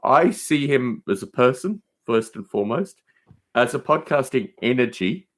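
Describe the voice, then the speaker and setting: A man talks casually as a podcast guest. His pitch sits in a middle register but swings widely, and he leans on words for emphasis. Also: lively intonation, moderate pace, fairly bright tone. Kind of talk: casual conversation.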